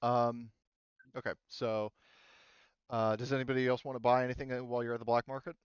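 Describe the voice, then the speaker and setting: A man acting as game master in a casual online tabletop session, explaining rules haltingly as he goes. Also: mid-range voice, little pitch movement, clean tone, no room sound, dull audio.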